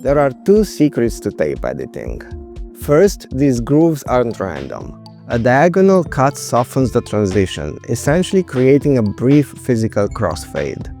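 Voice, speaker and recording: A man in his thirties or forties presenting a scripted explainer in a measured, precise way. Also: medium pitch, darkish tone. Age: 30s to 40s. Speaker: video presenter. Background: music.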